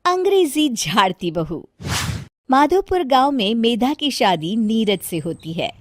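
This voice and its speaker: A woman's voice: on the high side, very animated, measured pace, dry recording, studio quality. A woman narrating a scripted story as a storyteller.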